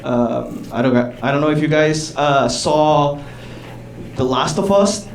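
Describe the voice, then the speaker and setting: A man from Chile performs live stand-up comedy, talking conversationally with pauses and bursts of exclamation. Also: fairly high pitch, creaky. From southern Chile. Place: small comedy theater stage, indoors. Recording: roomy live club sound, distant, audience. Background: audience laughter.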